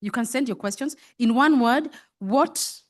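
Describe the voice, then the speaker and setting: A woman moderates a live panel on a conference stage, with a slightly high voice. She sounds lively and playful, and keeps encouraging her guests and the audience. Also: unhurried.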